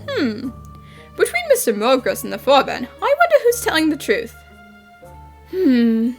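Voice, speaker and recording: Woman playing perky high-voiced young female detective. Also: huge pitch swings, moderate pace, soft background music, heavily acted. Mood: curious. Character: bright, curious, eager young female detective. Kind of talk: amateur anime fan dub acting.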